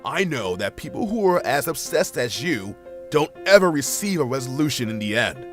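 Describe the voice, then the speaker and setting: A man's voice: medium pitch, big pitch swings, moderate pace, soft background music, natural voice. Man acting a blunt, exasperated inner voice, musical backing. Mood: angry, exasperated. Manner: confrontational, sweary, rhetorical questioning. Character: blunt, exasperated male inner voice of a grieving woman's mind. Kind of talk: amateur audio drama acting.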